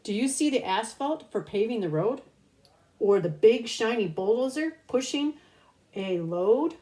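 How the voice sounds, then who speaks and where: Slow-paced woman reading aloud to young children. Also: fairly high pitch. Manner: expressive, child-directed, pausing to explain pictures and words. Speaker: pre-kindergarten teacher reading aloud. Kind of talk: children's picture book read-aloud.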